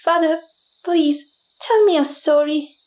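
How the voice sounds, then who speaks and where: A very high, childlike voice for a young half-elf girl in a fantasy audio tale. She is earnest and pleading as she speaks to her father. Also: takes it slowly, darkish tone, rough recording. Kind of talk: audiobook character acting.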